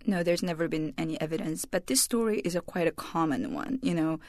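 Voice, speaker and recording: A woman in her forties from South Korea, speaking with a Korean accent, thoughtful and reflective, pausing often with ums and restarts as a radio interview guest. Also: deep voice, a little mellow, no echo, clean studio sound. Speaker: author and journalist guest.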